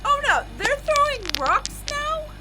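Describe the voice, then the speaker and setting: A woman playing an excitable, reckless, childlike young female musician in an audio drama, exuberant and naive. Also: extremely high pitch, unhurried, noisy background, exaggerated voice. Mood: exasperated, urgent.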